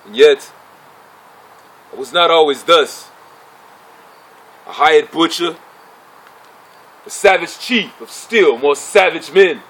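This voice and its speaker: A proud, embittered gladiator chief played by a man with a notably high male voice. He speaks in formal, declamatory stage oratory, setting out his phrases with deliberate pauses as he addresses his fellow fighters. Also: a bit bright, heavily acted, low-quality recording. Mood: proud, defiant. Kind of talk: theatrical dramatic monologue.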